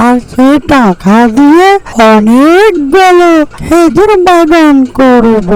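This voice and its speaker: A performer voicing a small, hopeful, hardworking bird in a fairy-tale cartoon, with drawn-out storytelling delivery. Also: high-pitched, full character voice, poor audio. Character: Tuni, small hopeful hardworking bird guarding her date palms.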